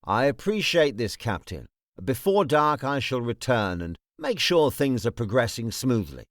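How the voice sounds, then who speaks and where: An actor voicing a shrewd, authoritative lord in a fantasy audiobook, speaking formally and sternly. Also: male voice, mid-range voice, big pitch swings, unhurried, dry recording, studio quality. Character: commanding, shrewd male lord giving stern orders. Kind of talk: audiobook character acting.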